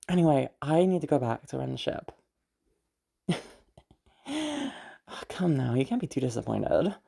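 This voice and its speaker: A woman playing a friendly young woman with a hidden obsessive side, in roleplay audio addressed to the listener, with a husky, hesitant delivery. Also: low voice, huge pitch swings, slow, long pauses, mellow, full character voice. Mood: playful, flustered.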